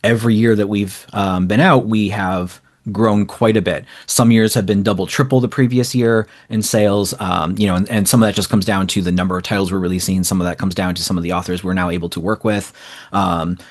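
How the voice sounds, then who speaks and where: Low-pitched man talking casually. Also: steady tone, a little fast. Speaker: interview guest, small indie publisher. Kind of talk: casual conversational interview answers.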